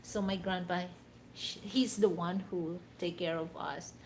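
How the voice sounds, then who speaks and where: Filipino woman chatting slowly on a livestream. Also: on the low side, fairly even intonation, a little hiss or hum. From Philippines. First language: a Philippine language other than Tagalog. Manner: rambling, many "you know" fillers. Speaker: livestream host. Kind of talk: casual livestream chat.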